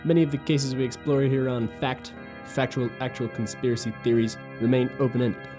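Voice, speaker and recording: An actor playing the solemn host of a conspiracy-mystery show, giving scripted narration in a portentous, deliberate manner. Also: male voice, medium pitch, level tone, natural voice. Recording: clear guitar music underneath.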